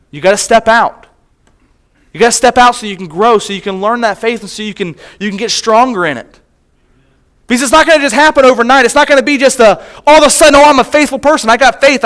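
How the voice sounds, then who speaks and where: A man's voice: high-pitched, a bit bright. A man, a missionary, preaching to a church congregation, telling a personal story in a conversational way and often restarting his phrases. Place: church sanctuary.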